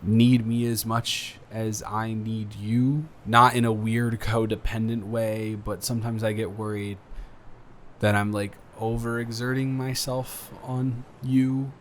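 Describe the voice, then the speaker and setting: A young man playing an earnest, awkward college student smitten with a dormmate, rambling and halting in an intimate roleplay audio drama. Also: fairly low pitch, level tone, a little hiss or hum. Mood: tender, sincere. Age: young adult.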